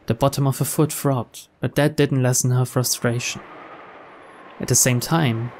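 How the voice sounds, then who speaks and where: A man's voice: mid-range voice, steady tone, normal speed, slight background noise. A man reading a visual novel's story aloud as scripted narration. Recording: soft music behind the voice.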